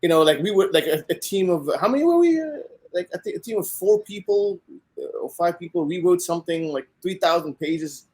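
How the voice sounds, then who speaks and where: A man's voice: high voice, expressive, brisk, a little mellow. A man from Kenya, a guest on a podcast, speaking casually and hesitantly with many fillers. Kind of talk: casual conversation.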